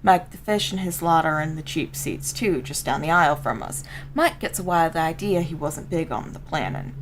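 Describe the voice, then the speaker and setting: A rough street-gang old hand , played by a woman, spins a tale in a folksy, colloquial way with a Southern American accent. The voice sits a little low and crackles with fry, over faint noise, in the style of a video game story read aloud. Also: animated, moderate pace. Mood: streetwise, matter-of-fact. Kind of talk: dramatic first-person story reading.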